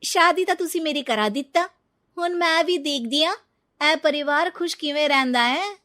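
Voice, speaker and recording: Woman acting a shrill-pitched, defiant young Punjabi bride. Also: moderate pace. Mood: defiant, angry. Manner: sharp, emphatic, dramatic. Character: defiant, scheming young bride, prone to bitter regret.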